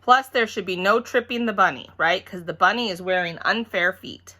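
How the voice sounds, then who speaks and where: A woman's voice: mid-range voice, moderate pace, pushed and bright. A woman voicing a blunt, self-important little kindergarten girl in a children's storybook. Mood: insistent. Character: cocky, literal-minded little kindergarten girl narrator.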